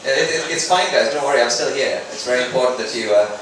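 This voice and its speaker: A man's voice: mid-range voice, quick pace, a bit bright. A man from Sri Lanka doing a conversational, self-deprecating stand-up comedy routine. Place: indoor live comedy event. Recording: very echoey room, distant mic, background noise.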